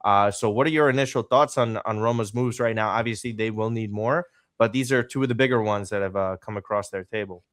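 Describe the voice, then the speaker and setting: Low, smooth-voiced male podcast host. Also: a little fast, hardly any pauses, no echo, studio quality. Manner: energetic, rambling questions. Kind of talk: casual podcast interview.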